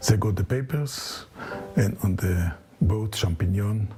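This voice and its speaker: An elderly man in his seventies tells his story in an interview, in halting, English. His voice is low and mellow, and he takes his time. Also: soft background music. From Israel and France. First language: not English. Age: 70s. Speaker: interviewee recounting family history.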